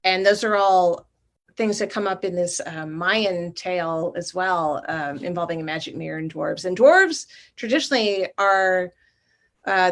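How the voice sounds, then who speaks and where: A middle-aged woman, a guest artist, talking thoughtfully and at length about her work over a video call. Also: lowish voice. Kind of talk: casual interview talk.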